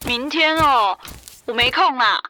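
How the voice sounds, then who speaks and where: A woman's voice: high voice, expressive, measured pace, pushed and bright, soft background music, heavily acted, low-quality recording. A young woman with a Taiwanese Mandarin accent playing a blunt, upbeat student and best friend in an audio drama. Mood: reluctant. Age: young adult. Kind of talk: audio drama acting, casual chat.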